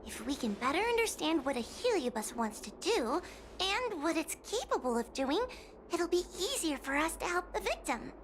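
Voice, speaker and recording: A woman's voice: very high-pitched, big pitch swings, normal speed, slight background noise. A woman voicing a timid, nervous young girl exorcist in a game cutscene, hesitant and apologetic as she talks. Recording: game cutscene with music behind.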